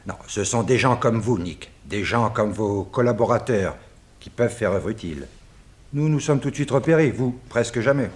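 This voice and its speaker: An actor playing an earnest, worried police chief in a French radio drama, speaking in a deliberate, slightly hesitant way. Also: male voice, on the low side, lively intonation, lightly acted. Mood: earnest, confiding. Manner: deliberate, hesitant, matter-of-fact.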